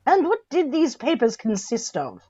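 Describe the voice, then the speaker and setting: A woman's voice: high-pitched, wildly swooping pitch, moderate pace, warm tone, slightly rough, lo-fi sound, exaggerated voice. A woman playing a formal, authoritative official questioning a witness at an inquest in a radio drama. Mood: probing. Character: formal, probing official presiding over an inquest.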